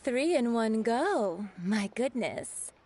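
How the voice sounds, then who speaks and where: Flirtatious, teasing perfume maker. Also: female voice, fairly high pitch, sing-song, takes it slowly, a little mellow, slight background noise. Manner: coy, playful, knowing. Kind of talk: video game voice acting. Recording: music behind the voice.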